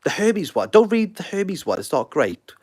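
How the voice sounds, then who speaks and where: A man's voice: sits high, very animated, normal speed, a little mellow. A Welsh man in his thirties hosting a casual livestream, rambling and self-deprecating as he answers viewer comments. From Wales. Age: 30s. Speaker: livestream host.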